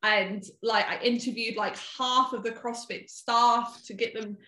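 A chatty young woman in her twenties with a bright, forceful voice pitched a little high. She talks in a loose, rambling way, filling her sentences with "like". The sound is roomy and echoey. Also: fairly even intonation, unhurried. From half American, half English. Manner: chatty, rambling, heavy use of "like". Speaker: guest photographer. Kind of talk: casual podcast chat. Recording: echoey room.

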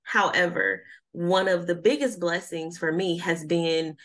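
A woman in her thirties, a life coach, chatting casually and telling stories with lively acted-out quotes. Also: lowish voice, measured pace. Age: 30s. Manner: lively storyteller, acts out quoted voices. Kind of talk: casual podcast chat.

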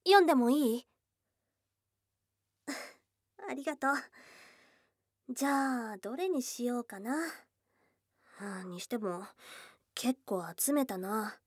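A woman's voice: a little high, takes it slowly, pauses often, breathy edge, no room sound, studio quality. A woman playing a young goblin girl, a blunt, teasing yet shy girlfriend, in an intimate ASMR voice drama. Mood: curious, playful. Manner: blunt tomboyish speech, teasing and bashful. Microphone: close dry studio microphone.

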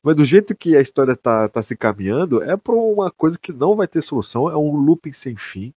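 A man's voice: a little high, very animated, brisk, mellow, breathy edge, dry recording. A young man with a Brazilian accent, co-hosting a podcast in casual, slangy, sweary talk, often repeating himself. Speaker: podcast co-host. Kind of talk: casual chat.

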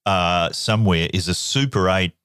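A man co-hosting a podcast in casual chat, telling animated stories. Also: moderate pace, clean tone, no room sound, studio quality. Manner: animated storyteller, jumps up to a boyish high voice for quotes. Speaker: podcast co-host.

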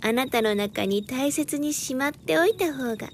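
A woman acting as a worldly, self-assured adviser in an audio drama, speaking in a deliberate, persuasive, feminine way. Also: high-pitched, lively intonation, a little hiss or hum. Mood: gentle, advising.

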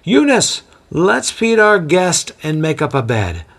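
A man's voice: on the high side, sing-song, takes it slowly, a bit bright, voice pushed up, exaggerated voice. A man voicing a doting, hard-of-hearing old grandmother who fusses and gushes. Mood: gushing. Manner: fussy and gushing.

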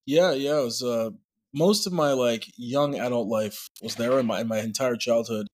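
A man's voice: medium pitch, moderate pace. A man in his forties from Montreal, a guest actor telling his story in an easygoing, rambling way with "like" and "um" fillers. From Montreal, Quebec, Canada. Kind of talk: casual interview chat.

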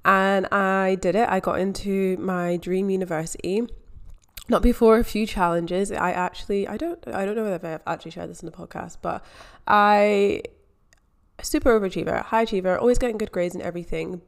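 A woman's voice: mid-range voice, fairly even intonation, moderate pace. A young Scottish woman hosting her own podcast, talking in a chatty, candid way with self-deprecating asides. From Scotland. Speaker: podcast host. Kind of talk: casual solo podcast monologue.

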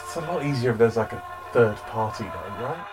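Troubled apprentice investigator for audio drama male actor: medium pitch, questioning, unsure, few words, music bed throughout. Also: lively intonation, fairly quick, lightly acted. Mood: uneasy. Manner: terse, uncertain, questioning.

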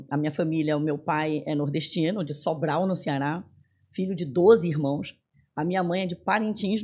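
A woman's voice: deep voice, dark tone, husky. A woman in her thirties from northern Brazil, a podcast guest chatting animatedly and telling her story with frequent fillers. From Belém, northern Brazil. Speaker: guest, tech executive. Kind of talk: casual podcast conversation.

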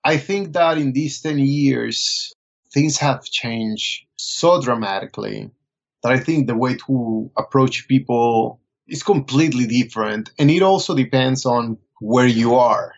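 A man from Colombia, speaking as a guest and telling his story in a relaxed, thoughtful way. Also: mid-range voice, a little slow, creaky, highs cut off. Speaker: guest photographer. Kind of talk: casual interview answers.

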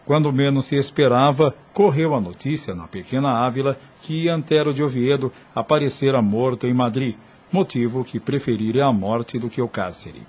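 A man's voice: mid-range voice, normal speed, few pauses, a little mellow, husky. A man narrating a radio soap opera from a script in a solemn, literary storytelling manner.

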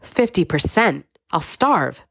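A woman's voice: on the low side, big pitch swings, takes it slowly, creaky, a bit bright, husky, no echo, clean studio sound, pitched above the performer's own voice, full character voice. Woman voicing a spoiled, sulky young man. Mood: defensive.

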